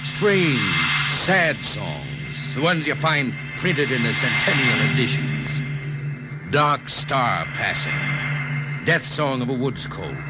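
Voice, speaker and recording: A seasoned spaceship jetman, with a mid-pitched, very expressive voice that rises and falls widely. He tells his story in dramatic old-time radio fashion, with music behind the vintage recording. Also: poor audio. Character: seasoned spaceship jetman telling a nostalgic tale. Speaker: narrator. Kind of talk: 1950s radio drama narration.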